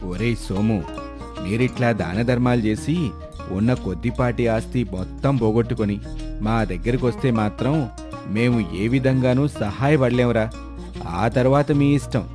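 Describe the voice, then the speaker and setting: A man's voice: mid-range voice, unhurried, over music, close to an everyday voice. A man playing an arrogant, stingy landlord who berates people haughtily in a cartoon moral story. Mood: scolding. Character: arrogant, stingy, scolding rich male landlord.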